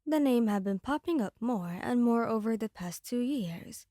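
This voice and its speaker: A woman's voice: a little high, expressive, normal speed, warm tone, no echo, clean studio sound. A woman giving dramatic, scripted second-person narration for an ASMR audio roleplay.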